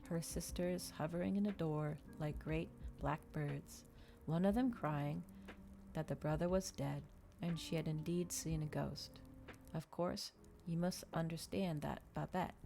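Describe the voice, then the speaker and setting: Low, creaky-voiced woman reading softly. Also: slight background noise. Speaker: streamer reading aloud. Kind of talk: ASMR audiobook-style reading of a novel.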